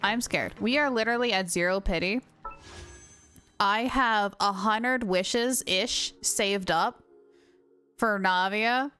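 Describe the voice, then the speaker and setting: A woman livestreamer chatting casually over a game, with an excitable, gushing manner. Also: mid-range voice, takes it slowly, clear, resonant tone, faint music behind. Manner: excitable, gushing, baby-talks to her dog. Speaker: live game streamer. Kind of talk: casual livestream chat while gaming. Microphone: streamer's mic, wearing headphones.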